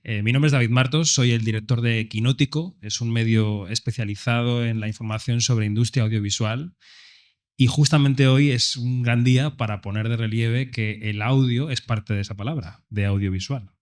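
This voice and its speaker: A man with a Castilian Spanish accent moderates a live panel. His voice sits at a middle pitch as he presents guests and asks questions. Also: steady tone, moderate pace. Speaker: event host and moderator.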